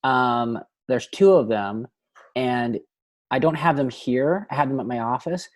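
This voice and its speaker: A man's voice: mid-range voice, unhurried, dry recording. A man from Canada, a film director, talking casually as a guest, thinking aloud with many fillers and restarts. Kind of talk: casual interview conversation.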